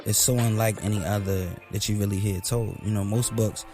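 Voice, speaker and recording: Actor as teasing radio host over music. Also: male voice, fairly low pitch, fairly even intonation, natural voice. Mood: curious. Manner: informal, slangy, halting, playful. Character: enthusiastic, teasing local radio show host. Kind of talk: casual radio interview in an audio drama.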